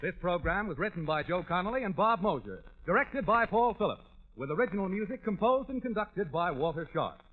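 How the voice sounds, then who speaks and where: A man announcing in the style of 1940s radio advertising, pitched high with lively swings. He enunciates with polished, salesmanlike emphasis on a tinny, narrow-band recording. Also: fairly quick.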